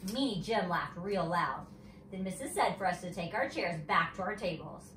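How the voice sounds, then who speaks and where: A woman's voice: fairly low pitch, lively intonation, moderate pace, fairly bright tone. A woman teacher reading a children's story aloud expressively, with chatty asides, recorded at a distance in an echoey room. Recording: roomy echo, distant mic, faint noise.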